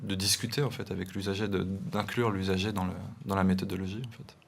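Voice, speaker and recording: Low-voiced young designer, eastern French accent. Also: male voice, level tone, fast, slight background noise. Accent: eastern French, Montbéliard area. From eastern France. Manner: hesitant, many restarts and fillers. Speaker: guest designer. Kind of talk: studio interview.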